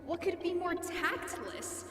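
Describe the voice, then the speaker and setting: A woman's voice: extremely high pitch, lively intonation, slow, heavily acted. A woman voicing a haughty, scornful, possessive female villain in an audio drama monologue, disdainful and coldly menacing, drenched in reverb. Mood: indignant, menacing. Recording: echoing hall, distant, over music.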